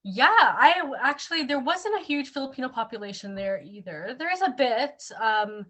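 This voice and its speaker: A woman's voice: sits high, animated, normal speed, fairly bright tone. A woman with a Canadian accent, chatting casually and informally as a co-host, with an enthusiastic manner. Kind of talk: casual chat.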